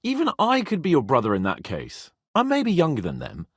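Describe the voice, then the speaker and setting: Brash young nobleman, wildly animated. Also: on the high side, fairly quick, husky, no room sound, studio quality, full character voice. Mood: reproachful. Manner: cocky, sardonic, swearing, expressive. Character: brash, teasing young medieval nobleman, proud and hot-headed. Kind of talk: video game voice acting, dramatic dialogue.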